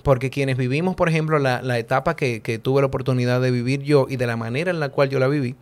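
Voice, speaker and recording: A man's voice: medium pitch, steady tone, fast talker. A man from the Dominican Republic with a Dominican accent, a guest in a casual philosophical chat, thinking aloud with many fillers and asides. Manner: talks things through with many fillers and "¿tú entiendes?" asides.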